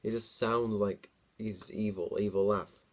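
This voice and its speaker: A man's voice: lowish voice, steady tone, a little slow, darkish tone. A man hosting a dramatic story reading, mixed with amused, informal comic commentary. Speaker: host reading his own childhood story.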